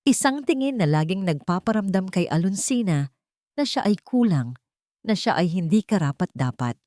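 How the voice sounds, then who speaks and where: A woman's voice: medium pitch, very animated, moderate pace, warm tone, dry recording, clean studio sound. A woman reading a scripted story as a dramatic narrator.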